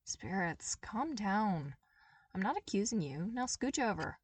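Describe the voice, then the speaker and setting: A woman's voice: medium pitch, sing-song, measured pace, breathy edge, no echo, far from the mic. A woman voicing a blunt, bossy young girl who is tough but caring, in a story reading. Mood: bossy, brash. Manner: blunt, demanding.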